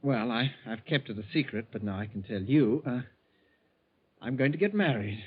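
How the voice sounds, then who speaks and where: An actor playing an earnest, overconfident bookkeeper with a secret plan, in 1940s radio drama style, with halting, insistent speech. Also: male voice, medium pitch, expressive, husky, phone-quality sound. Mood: confident, secretive. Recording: scratchy, phone-thin 1940s radio recording.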